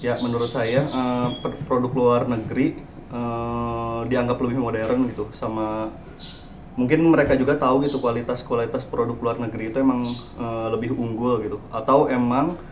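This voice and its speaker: A man's voice: mid-range voice, flat delivery, moderate pace, a little mellow, a little hiss or hum. A man in his twenties answering interview questions in a hesitant way, with frequent filler sounds. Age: 20s. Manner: hesitant, many "eh" fillers and "gitu". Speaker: interviewee, entrepreneur. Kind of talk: casual spoken answers. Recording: roomy echo.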